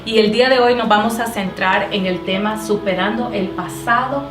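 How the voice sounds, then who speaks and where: A woman's voice: mid-range voice, moderate pace. Woman cohost on a church talk show, kinda far from the mic, echoey, music behind. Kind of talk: hosting a faith talk show.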